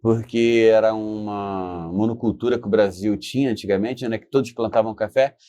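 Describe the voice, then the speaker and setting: A middle-aged Brazilian man telling stories casually as a guest, haltingly, repeating himself and often checking for agreement. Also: on the low side, fairly even intonation, warm tone, no echo. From Brazil, born in Espírito Santo. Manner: halting, repetitive, often seeks agreement with tag questions. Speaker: interview guest, business founder. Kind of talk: casual storytelling in conversation.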